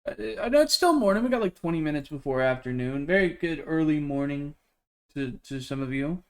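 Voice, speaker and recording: A man's voice: fairly high pitch, animated, brisk. Chatty man streamer greeting his chat. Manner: chatty, rambling, with many fillers and restarts. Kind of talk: casual chat with a live stream chat.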